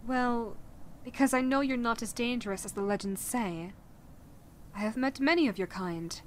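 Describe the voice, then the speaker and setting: A woman's voice: a little high, moderate pace, a little hiss or hum, close to an everyday voice. A woman voicing a caring, teasing, motherly vampire woman in a soothing roleplay audio, coaxing and speaking directly to the listener. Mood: gentle, coaxing. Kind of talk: roleplay audio acting, ASMR-like.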